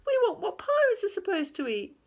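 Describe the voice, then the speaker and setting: A loud, bossy pirate captain from a children's story, pitched high and barking his orders, as a woman reading aloud puts him on. He shouts most of what he says. He sounds impatient and commanding. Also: huge pitch swings, a little fast, full character voice.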